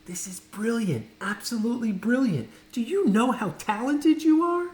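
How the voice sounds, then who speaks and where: A man's voice: extremely high pitch, lively intonation, moderate pace, darkish tone, echoey room, pitched above the performer's own voice. A man reading a storybook as a kind but firm, earnest and emphatic male schoolteacher. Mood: admiring.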